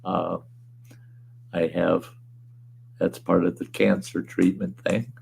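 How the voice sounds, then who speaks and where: Hoarse, deep-voiced gravelly man. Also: level tone, takes it slowly, a little mellow, a little hiss or hum. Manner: laid-back, rambling, chuckles often, salty language. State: hoarse, weakened voice. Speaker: livestream host. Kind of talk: casual livestream chat reading viewer comments. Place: at home.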